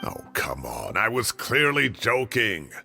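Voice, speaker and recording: Blustery old professor, bright and animated. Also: male voice, lowish voice, slow, vocal fry, full character voice. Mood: exasperated. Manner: blustery, exclamatory, theatrical. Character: elderly retired male professor, blustery and indignant. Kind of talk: video game voice acting. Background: background music.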